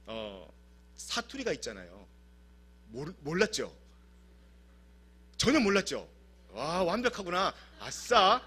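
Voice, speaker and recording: Male pastor from South Korea, husky tone, humorous animated preacher. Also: fairly high pitch. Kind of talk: sermon. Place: church service.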